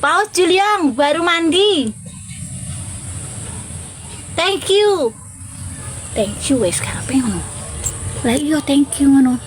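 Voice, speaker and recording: A woman's voice: sits high, expressive, slow, pauses often. A woman chatting casually and informally with viewers as the host of a live stream. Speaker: livestream host.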